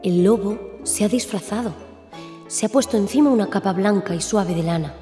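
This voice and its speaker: A woman narrates a children's story in a mellow, dark tone. The sound is roomy with long reverb, over faint music. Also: mid-range voice, normal speed. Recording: echoey, reverberant room.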